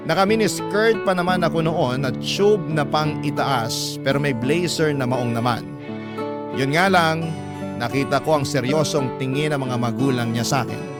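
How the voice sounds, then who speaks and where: A man with a fairly high voice reads a letter as a dramatic radio storyteller. Loud plucked guitar music plays under his scripted narration. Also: moderate pace.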